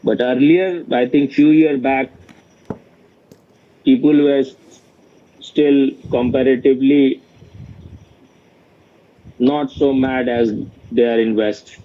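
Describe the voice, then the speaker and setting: A man giving an informal spiritual question-and-answer talk as a teacher, speaking in a halting, repetitive and bluntly opinionated way. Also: medium pitch, steady tone. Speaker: spiritual teacher in dialogue with a student. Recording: dull, lo-fi sound.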